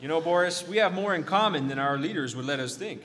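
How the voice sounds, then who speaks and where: An actor playing a blustering, patriotic American balloon pilot with a put-on Minnesota accent and a folksy manner. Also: male voice, fairly high pitch, animated, fast talker, a bit bright, in a large hall, slight background noise. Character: gung-ho male American balloon pilot major from the 1950s.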